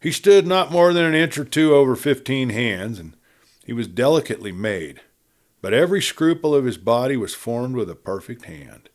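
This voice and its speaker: A man reading a western novel aloud as an audiobook narrator, with expressive, dramatic storytelling. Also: mid-range voice, moderate pace.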